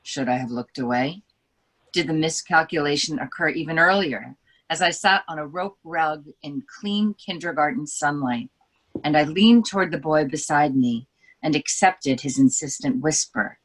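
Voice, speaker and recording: A woman's voice: deep voice, normal speed, poor audio. A woman reading as a brooding young wife. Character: troubled young wife, reflective. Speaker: book editor. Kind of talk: literary reading.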